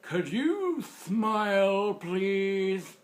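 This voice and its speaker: A man voicing a storybook grandfather, old, toothless and indignant, with a lisp and drawn-out, loud exclamations. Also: high voice, very animated, voice pushed up, full character voice. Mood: suspicious. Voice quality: lisping, toothless speech.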